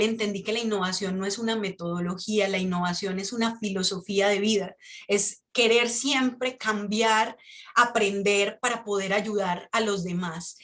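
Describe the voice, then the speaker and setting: A woman's voice: medium pitch, a bit bright. A woman from Colombia with a Colombian accent, giving an earnest, reflective motivational talk to young people at a workshop. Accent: Colombian Spanish. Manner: earnest, reflective, with frequent restarts. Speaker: workshop speaker.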